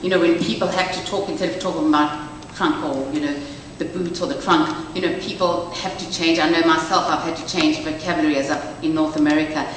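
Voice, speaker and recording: A woman's voice: sits low, steady tone, a little fast, few pauses. A woman keynote speaker answering audience questions at a conference, encouraging and thoughtful. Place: university lecture hall. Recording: distant echoey hall mic, audible noise.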